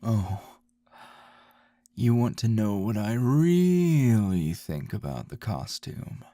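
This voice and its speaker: A man acts out a grumpy middle-aged male teacher as a flirtatious lover in an ASMR roleplay. The voice is low and husky, with pitch that swings in teasing turns. It is recorded close and dry. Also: sing-song, dark tone, no echo. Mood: fond, teasing. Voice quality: low, gravelly, husky murmur. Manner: slow, teasing drawl with pauses.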